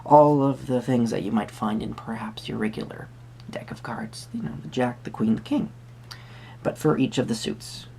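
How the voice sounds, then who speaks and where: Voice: medium pitch, normal speed, gravelly, mellow, slight background noise. A tarot reader speaking to the listener in a roleplay, musing hesitantly in wordy, formal phrases. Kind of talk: soft-spoken roleplay tarot reading addressed to the viewer.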